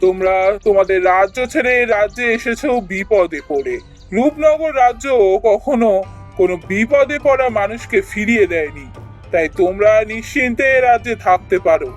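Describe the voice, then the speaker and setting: An actor playing a kindly, just king in a fairy-tale cartoon, speaking in a measured, formal, reassuring way. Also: medium pitch, expressive, close to an everyday voice. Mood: benevolent, commanding. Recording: music under the voice.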